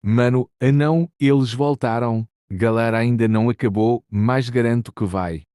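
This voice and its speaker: A man's voice: mid-range voice, steady tone, moderate pace, dark tone, clear, resonant tone, natural voice. A man playing a casual, slangy fighter character with a Brazilian accent. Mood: dismayed, determined. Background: faint music.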